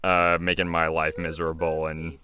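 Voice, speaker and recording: A man's voice: extremely low pitch, monotone, moderate pace, sharp tone. A young man, a web series creator and voice actor, giving casual, chatty, joking video commentary.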